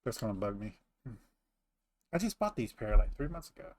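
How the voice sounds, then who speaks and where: A man's voice: fairly low pitch, very animated, a little fast, a little mellow, no echo. A middle-aged man chatting casually over gameplay as a host, rambling and teasing as he goes. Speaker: gaming host.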